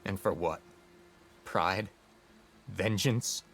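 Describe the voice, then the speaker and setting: Earnest young deserter soldier. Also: male voice, low voice, very slow, creaky, fairly bright tone, slight background noise, exaggerated voice. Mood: remorseful. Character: earnest young male rebel deserter soldier, remorseful and pleading. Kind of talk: video game cutscene acting.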